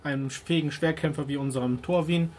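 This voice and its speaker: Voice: mid-range voice, steady tone, fast talker, a little mellow, a little hiss or hum. Tabletop player with formal, courtly wording. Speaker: tabletop role-playing player. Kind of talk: in-character game chat with formal, courtly wording.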